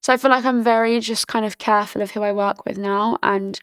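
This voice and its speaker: A woman in her early twenties from Britain, chatting casually as a podcast guest, full of "like" fillers and restarts, her voice slightly hoarse. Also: medium pitch, steady tone, fairly quick, no echo. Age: early 20s. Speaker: podcast guest, charity founder. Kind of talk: casual interview chat.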